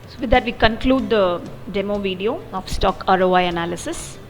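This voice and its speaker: A woman narrating an explanatory software demo in a methodical, step-by-step way. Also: medium pitch, a little fast. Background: background music.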